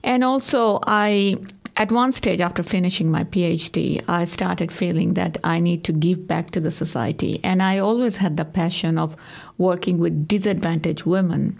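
Woman from Bangladesh, English, low gravelly voice; earnest, halting interview answers. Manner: earnest, halting with many ums. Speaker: community worker and guest.

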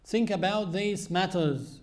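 A man with a high voice delivers an earnest religious sermon. He speaks slowly and deliberately, pausing often between phrases as he teaches. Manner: measured, earnest teaching with frequent pauses. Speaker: Islamic preacher.

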